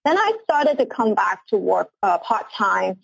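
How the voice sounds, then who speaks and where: A woman's voice: medium pitch, animated, measured pace, creaky, breathy edge, no room sound, low-quality recording. A woman in her forties from Hong Kong, a company CEO answering interview questions earnestly, often repeating her first words. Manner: earnest, eager, repeats words when starting sentences.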